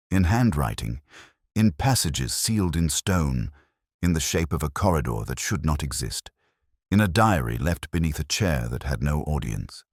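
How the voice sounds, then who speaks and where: Man, very deep voice, dry studio sound, weary detective narrator, brooding, measured, scripted mystery story. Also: no room sound. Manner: measured, brooding, literary delivery in short clipped phrases. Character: weary, introspective male detective narrating his case.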